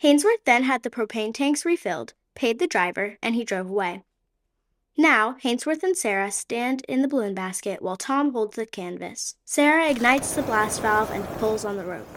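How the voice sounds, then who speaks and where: A woman's voice: on the high side, lively intonation, moderate pace. Woman narrating a radio play. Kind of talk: scripted narration.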